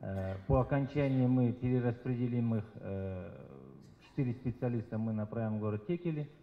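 A man's voice: mid-range voice, fairly quick. A man, a health official, hesitantly answering questions and reporting figures at a public meeting. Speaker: head of health department. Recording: roomy, dull hall sound.

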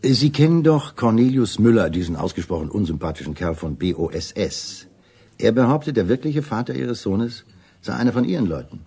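Deep-voiced, smoothly menacing older official. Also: male voice, sing-song, a little fast, warm tone, full character voice. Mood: smooth veiled threat. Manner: suave, condescending, chuckling menace. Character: older British intelligence official, smooth, veiled menace, condescending. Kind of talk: German radio drama acting.

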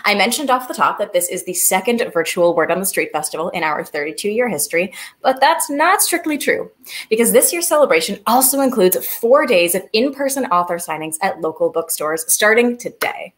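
A woman's voice: mid-range voice, lively intonation, brisk. A young woman hosting an online festival, reading scripted announcements in an upbeat way from a video call at home. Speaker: festival host. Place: living room at home.

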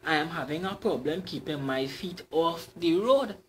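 A man's voice: on the high side, animated, normal speed, slight background noise, close to an everyday voice. A man voicing a seductive female folklore demon with a cow hoof, in a sly, teasing manner. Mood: coy.

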